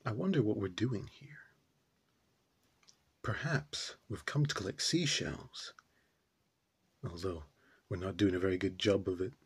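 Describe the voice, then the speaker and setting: A puzzled, brooding man played by a male actor muses through an absurdist stage monologue. His voice is lowish and husky, and he ponders very slowly, halting between thoughts. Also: full character voice. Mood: puzzled musing. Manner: halting, pondering, with deliberate pauses.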